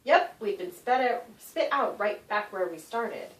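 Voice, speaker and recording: A woman author reading a children's story aloud in an expressive, animated narration with dramatic emphasis. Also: a little high, a little slow. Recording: roomy echo, distant microphone.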